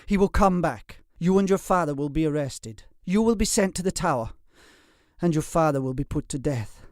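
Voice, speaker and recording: A man's voice: on the high side, normal speed, warm tone, no room sound, clean studio sound, voice pushed up, lightly acted. A man doing a panicked young lord. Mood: urgent. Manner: urgent, pleading. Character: panicked young nobleman, loving and desperate.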